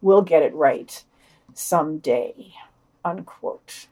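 A woman's voice: low-pitched, slow, mellow. A woman co-hosting a podcast, reading a scripted news digest and quoted passages aloud. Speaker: podcast co-host.

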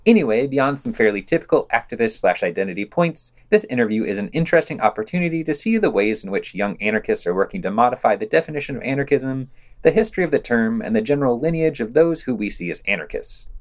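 Male podcast host, wry and opinionated, scripted read with lively, varied pitch. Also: fast talker. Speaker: podcast host reading news reviews.

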